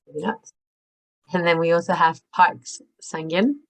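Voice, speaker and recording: A woman moderating an online webinar, polite and brisk as she calls on each participant. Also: fairly low pitch, rough recording. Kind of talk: hosting introductions. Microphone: video call.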